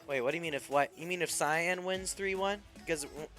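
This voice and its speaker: A young man casting an esports match and chatting with his stream chat, his voice a bit raspy from losing it. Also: a little high, brisk, a bit bright. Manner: rambling, chatty, talks to chat. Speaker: esports caster and stream host. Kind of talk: casual play-by-play commentary with chat. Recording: music playing behind. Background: stream music.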